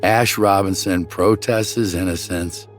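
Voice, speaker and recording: Very deep-voiced man narrating true crime. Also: steady tone, a little slow. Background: background music.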